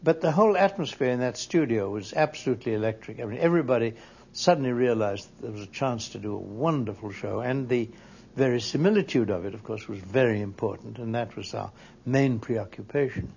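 A man's voice: mid-range voice, lively intonation, fairly quick, vocal fry, darkish tone, slight background noise. A man giving a reflective interview recollection in a hesitant manner. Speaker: interviewee, radio producer. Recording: dull, muffled interview recording.

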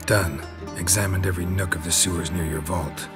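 An actor playing a stoic, laconic monster hunter in a video game, terse and deadpan with dry humor. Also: male voice, sits low, fairly quick, loud music behind the voice, natural voice. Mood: matter-of-fact. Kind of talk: video game dialogue acting.

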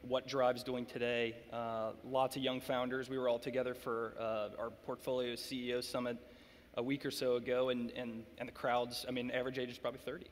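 Onstage interviewer, man in his thirties, measured and even-pitched, hall reverb, faint room noise. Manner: measured, sets up questions with context. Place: large hall stage, seated in armchair.

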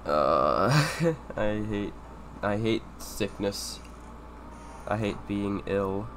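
Sick young guy streaming from his room, sniffling, kinda low voice, mumbly chill commentary. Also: very animated, extremely slow, background noise. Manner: low-key, mumbling asides while playing. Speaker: speedrunner streamer. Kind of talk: casual live-stream commentary. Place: home room with air conditioner.

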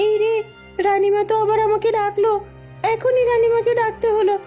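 A loyal young maidservant from a cartoon fairy tale, played by a woman, earnest and watchful. Her voice is extremely high and softly toned, over light music. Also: level tone, brisk. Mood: flustered. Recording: music behind the voice.

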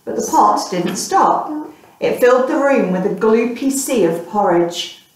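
A woman's voice: fairly high pitch, lively intonation, measured pace. Woman reading a storybook, very echoey. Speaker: storytelling teacher and mother. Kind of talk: reading a picture book aloud to a child. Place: small plain room indoors. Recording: very echoey room, distant microphone.

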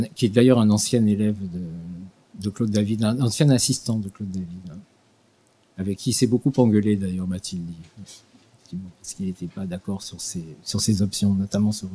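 An older man giving an unscripted talk in a small studio at a desk microphone, halting with frequent restarts and fillers. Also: low-pitched, dark tone, breathy edge. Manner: hesitant, many restarts and fillers. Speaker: guest lecturer. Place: small studio with dark purple backdrop. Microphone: desk mic on stand.

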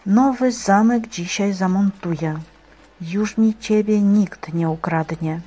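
A woman playing a humble, devout monk in a cartoon, speaking meekly and deliberately. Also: medium pitch, mellow. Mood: wary, plain. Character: humble, devout monk talking with a Christ figurine. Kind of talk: amateur stage-play acting in a cartoon.

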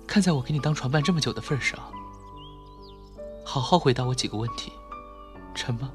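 A man acting a heartbroken, weary, gentle young man in a Mandarin audio drama, restrained and wistful. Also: medium pitch, expressive, fairly quick, natural voice. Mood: pained questioning. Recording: music behind the voice.

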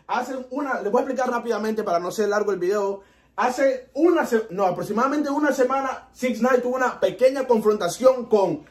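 Honduran dude in his 20s reacting to a music vid, fairly high voice, chatty and excitable. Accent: Honduran Spanish. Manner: chatty, excitable, animated with hand gestures. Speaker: reaction video host. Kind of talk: casual music video reaction. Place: small indoor room in front of a TV.

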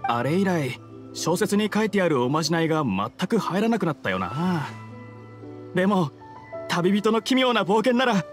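High-pitched, earnest townsman. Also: very animated, normal speed, soft background music. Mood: musing. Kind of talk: Japanese anime game voice acting.

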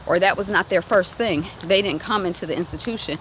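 Animated Detroit woman vlogging on a walk. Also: a little fast. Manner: animated, acts out quoted voices with big pitch jumps. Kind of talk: walk-and-talk vlog chat. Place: outdoors on a residential street, walking. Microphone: phone held at arm's length. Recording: outdoor noise from street traffic.

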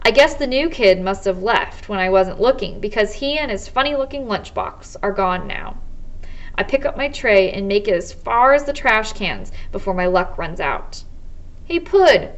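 An American woman in her twenties, a teacher reading a children's novel aloud in measured first-person narration. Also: mid-range voice, expressive. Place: small indoor office or study.

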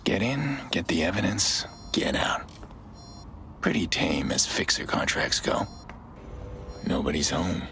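An actor playing a gruff, jaded male mercenary in a video game, muttering tersely and cynically to himself. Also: sits low, very animated, unhurried, long pauses, sharp tone, slight background noise, heavily acted. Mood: wry, wary.

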